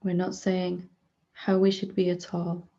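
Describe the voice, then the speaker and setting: A woman leading a guided meditation as a spiritual teacher, speaking gently in short unhurried phrases with long pauses between them. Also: on the low side, monotone, lo-fi sound.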